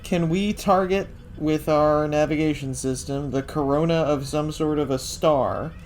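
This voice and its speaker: A man playing a tabletop game, making quick playful jokes and tactical suggestions. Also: fairly high pitch, a little hiss or hum. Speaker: player. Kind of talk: casual game table chat.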